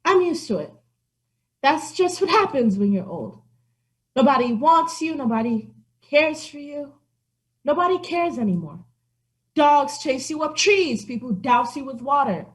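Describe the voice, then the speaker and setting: A woman voicing an old female alley cat who complains with whiny, drawn-out sighs. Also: medium pitch, expressive, natural voice, rough recording. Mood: self-pitying. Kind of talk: children's story acting.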